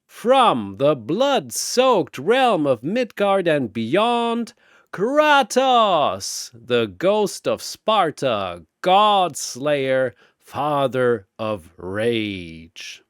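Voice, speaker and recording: A man's voice: on the high side, big pitch swings, very slow, smooth, no echo, studio quality. A man delivering dramatic scripted battle narration like an arena announcer, theatrical and grandiose.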